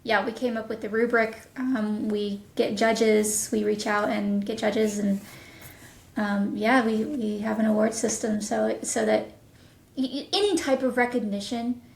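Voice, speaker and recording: A woman with a fairly high voice chats casually as an interview guest. She talks as a creative producer and writer. The recording has a strong roomy echo. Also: fairly even intonation, unhurried, vocal fry. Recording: echoey, roomy sound.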